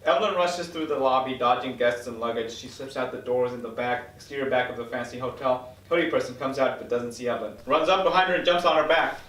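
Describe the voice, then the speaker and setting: A man's voice: medium pitch, fast, hardly any pauses, fairly bright tone, a little hiss or hum. A man reading screenplay stage directions aloud as scripted narration at a table read. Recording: roomy echo, distant microphone.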